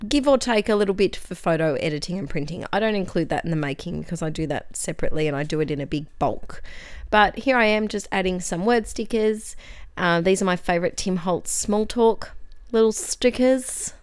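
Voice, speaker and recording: A young Australian woman with a hoarse, croaky voice, chatting casually over her scrapbooking video with lots of fillers. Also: on the low side, fairly quick, no room sound, clean studio sound. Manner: chatty, friendly, tangents and fillers. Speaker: scrapbooking channel host. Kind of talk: voice-over for a craft process video. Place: home craft desk.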